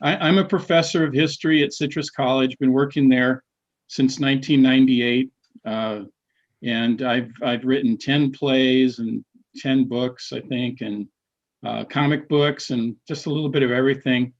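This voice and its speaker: A middle-aged man, a history professor and playwright, talking casually on a video call, halting with many restarts and fillers. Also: mid-range voice, fairly even intonation. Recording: dull lo-fi call audio.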